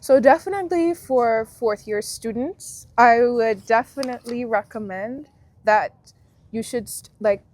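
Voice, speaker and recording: A woman's voice: on the high side, unhurried, a little mellow. Young woman from Guyana, reflective. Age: 20s. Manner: reflective, friendly, thinks aloud with fillers. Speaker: alumna guest. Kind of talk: casual interview chat.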